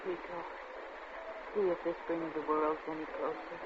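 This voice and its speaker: Actress as female crook, scheming, seductive, vengeful, 1940s radio suspense acting, dull vintage sound, clear background noise. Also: low-pitched, level tone, moderate pace, close to an everyday voice. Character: scheming, vengeful, seductive woman crook narrating her own crime. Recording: dull, noisy old radio recording.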